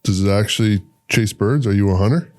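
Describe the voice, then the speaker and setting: Easygoing man hosting a podcast, low voice. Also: brisk, darkish tone. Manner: easygoing, good-humored, asks leading questions. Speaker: podcast host. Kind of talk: casual podcast interview.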